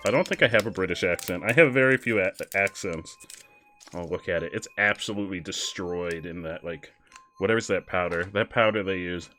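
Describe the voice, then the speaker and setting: A young man casually commenting on a kart race while chatting with his viewers, excitable and quick to laugh. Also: low-pitched, soft background music. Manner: excitable, giggly, chats with viewers. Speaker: streamer.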